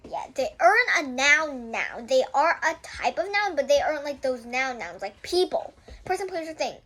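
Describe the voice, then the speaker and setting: A woman's voice: sits high, big pitch swings, moderate pace, bright, forceful tone. A young girl hosting a casual read-aloud of a children's book, often breaking off to explain things to viewers in chatty asides.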